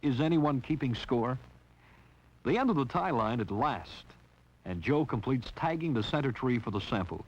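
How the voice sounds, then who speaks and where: A man's voice: medium pitch, lively intonation, normal speed, breathy edge. A man narrating a 1960s training film in a wry, teasing scripted style. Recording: dull lo-fi 1960s film soundtrack.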